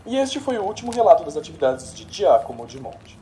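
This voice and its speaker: Male actor as 1930s radio newsreader, Brazilian accent, formal and declamatory, mellow tone, swift delivery. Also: high-pitched, very animated, fairly quick, a little hiss or hum, poor audio. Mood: formal, reporting. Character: male radio news announcer reporting a boxer's retirement. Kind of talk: 1930s radio news bulletin, scripted.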